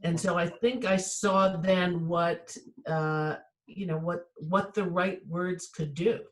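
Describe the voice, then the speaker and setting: A woman who teaches writing, talking through a personal memory in a reflective, measured way. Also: fairly low pitch, fairly even intonation. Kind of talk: casual conversation.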